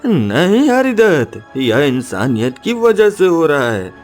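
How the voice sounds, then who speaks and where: A man's voice: fairly high pitch, wildly swooping pitch, slow pace, darkish tone, faint music behind, full character voice. A man playing a brave, self-sacrificing friend in a cartoon story, solemn, urgent and heroic. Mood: selfless, resolute. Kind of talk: dramatic cartoon story acting.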